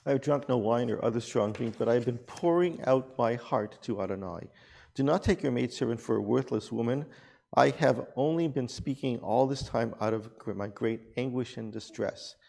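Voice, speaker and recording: A man reading a grieving young woman pleading that she is not drunk, only heartbroken. The reading is plain and measured, with a gravelly edge to the voice. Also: medium pitch, a little mellow. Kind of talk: reading scripture aloud.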